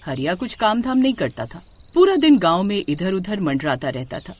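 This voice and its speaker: A woman's voice: lowish voice, sing-song, normal speed, darkish tone. A woman narrating a scripted horror story as a storyteller.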